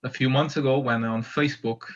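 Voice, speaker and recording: A man's voice: mid-range voice, steady tone, brisk, muffled recording. A man from Portugal with a Portuguese accent, chatting enthusiastically on a video call as he introduces himself to a community meetup. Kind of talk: casual video call chat.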